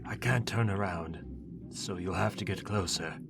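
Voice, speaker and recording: A man as a deep-voiced, imperious trapped sorcerer. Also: moderate pace, heavy background noise. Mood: bargaining. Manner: imperious, bargaining. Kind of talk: audio drama acting. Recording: music underneath. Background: background music.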